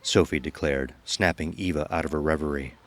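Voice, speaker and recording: A man's voice: very deep voice, fairly even intonation, fairly quick, a bit bright. A man giving scripted narration of a horror story as its narrator. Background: music.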